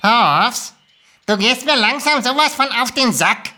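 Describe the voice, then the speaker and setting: An actor voicing a sly, easily irritated male kobold who haggles nonchalantly, in a lively storybook reading. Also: medium pitch, measured pace. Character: grumpy, sly male kobold dealmaker, ancient magical creature. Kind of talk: lively audiobook character reading.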